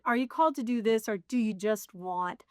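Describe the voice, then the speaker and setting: A woman's voice: a little high, normal speed, clean tone, no room sound, studio quality. A woman in her sixties sharing her faith story in a casual conversation, rambling and laughing at herself. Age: 60s. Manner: rambling, self-deprecating, laughs often. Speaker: guest.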